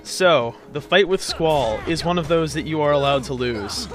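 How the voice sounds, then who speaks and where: A man's voice: on the high side, moderate pace, a bit bright, faint music behind. A man giving casual, hesitant, rambling commentary as he hosts a video game playthrough. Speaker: let's play host.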